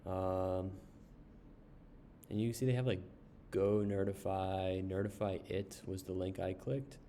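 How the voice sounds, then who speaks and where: A man casually reviewing an online service while browsing its pages, unhurried, with frequent ums and uhs. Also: low-pitched, flat delivery, dark tone, a little hiss or hum. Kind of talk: casual screen-share review.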